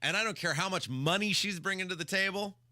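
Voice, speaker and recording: A man's voice: sits high, quick pace, bright, forceful tone, dry recording, studio quality. A man hosting a radio talk show, giving opinionated banter in an emphatic, exasperated, repetitive ranting style.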